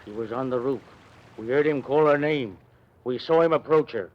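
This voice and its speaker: A man's voice: mid-range voice, moderate pace, close to an everyday voice. A man playing a grim rustic English servant recounting tragedy in dramatic 1940s radio acting. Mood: grim, excited. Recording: muffled vintage 1940s radio recording.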